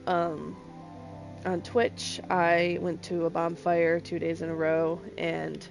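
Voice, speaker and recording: A raspy, hoarse woman hosting a casual let's-play video, chatting and muttering to herself as she plays. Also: on the low side, monotone, slow pace. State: hoarse from bonfire smoke. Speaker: gaming video host. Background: game music.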